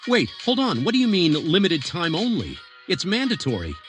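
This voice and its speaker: A man playing an agitated, exasperated soldier yelling in a parody radio advert. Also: high-pitched, huge pitch swings, moderate pace, faint music behind, exaggerated voice. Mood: exasperated, urgent.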